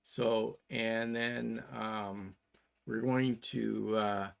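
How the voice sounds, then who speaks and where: A man in his sixties with a Canadian accent, chatting casually as a show co-host and explaining plans in a halting, methodical way. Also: lowish voice, flat delivery, creaky. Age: 60s.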